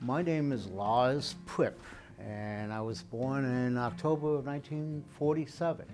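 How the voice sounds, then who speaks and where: A man in his seventies, born in Denmark, telling his life story in an oral history interview, unhurried and conversational with frequent fillers. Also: medium pitch. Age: 70s. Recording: soft guitar music underneath.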